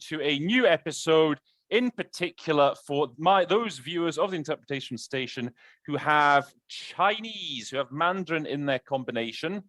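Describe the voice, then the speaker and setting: A man's voice: fairly high pitch, fairly bright tone. Chatty, rambling man hosting a show. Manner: chatty, rambling, self-correcting. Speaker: host. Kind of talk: casual spoken introduction to an interpreting practice show.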